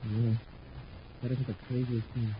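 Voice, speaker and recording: A man's voice: mid-range voice, little pitch movement, a little slow, lightly acted. A man playing an eager, deferential young space cadet in a 1950s radio drama. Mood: eager, chatty. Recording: muffled old radio recording, faint noise.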